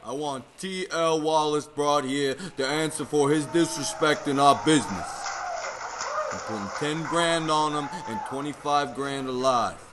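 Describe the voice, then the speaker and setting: A man's voice: on the high side, a little slow, noisy background, natural voice. A man playing a brash, bullying gangster boss in an old-time radio drama. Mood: commanding. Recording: crowd murmur behind.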